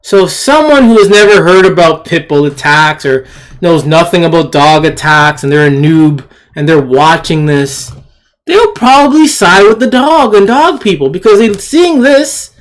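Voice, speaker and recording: This is an opinionated video commentator speaking off the cuff at a slow pace. The delivery is halting and emphatic, often circling back to repeat a point. The tone is blunt, indignant and disdainful. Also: medium pitch, animated.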